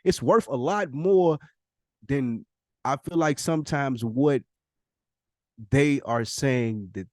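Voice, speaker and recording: A man's voice: medium pitch, slow, pauses often, a little mellow, no room sound, studio quality. A man co-hosting a podcast, arguing his side bluntly and swearing in casual chat. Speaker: podcast co-host.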